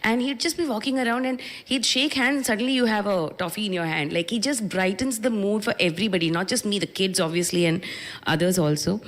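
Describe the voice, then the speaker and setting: A woman's voice: medium pitch, fast talker. An Indian woman in her thirties with an Indian English accent, answering questions at a press conference in a reflective way, with many restarts. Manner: reflective, with frequent restarts and fillers. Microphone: handheld microphone.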